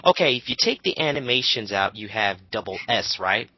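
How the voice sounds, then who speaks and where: A man's voice: fairly low pitch, animated, a little fast, bright, forceful tone, breathy edge, no echo. A man chatting casually as a co-host, blunt, argumentative and foul-mouthed as he comments on online arguments.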